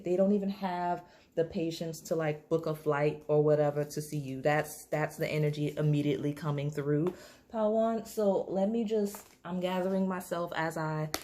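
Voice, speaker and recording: A woman, slightly hoarse, chatting casually through a tarot reading to camera, with lots of fillers and restarts. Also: low-pitched, darkish tone. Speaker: tarot reader.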